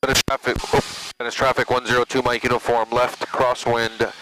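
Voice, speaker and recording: A man's voice: medium pitch, level tone, a little fast. A man working the radios as a pilot in a small plane, mixing easygoing, encouraging banter with radio calls over a headset. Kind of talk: casual cockpit chat and radio calls. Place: small plane cockpit in flight. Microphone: aviation headset intercom. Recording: noisy small-plane cockpit audio.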